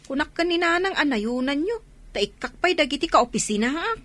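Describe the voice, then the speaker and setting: A woman's voice: high-pitched, lively intonation, fairly quick, a bit bright, slight background noise. A woman acting a nagging village wife who worries about her family in a radio drama. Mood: complaining.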